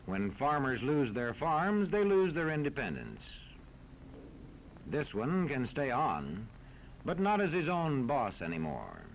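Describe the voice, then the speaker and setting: An American man narrating a scripted 1940s educational film in a formal, authoritative, measured manner. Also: medium pitch, wildly swooping pitch. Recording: dull 1940s film soundtrack, faint noise.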